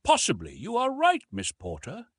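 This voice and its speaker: A man voicing a formal, jealous gentleman in an audiobook, precise and insistent. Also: high voice, huge pitch swings, normal speed, husky, dry recording, clean studio sound, pitched above the performer's own voice, heavily acted. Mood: jealous, sneering. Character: chivalrous but jealous gentleman, formal and sneering.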